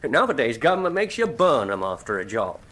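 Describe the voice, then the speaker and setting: A man's voice: mid-range voice, big pitch swings, brisk, pushed and bright. An actor playing a matter-of-fact, working-class retired hangman in a radio drama, with a folksy, plain-spoken, proud manner. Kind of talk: full-cast radio mystery drama acting.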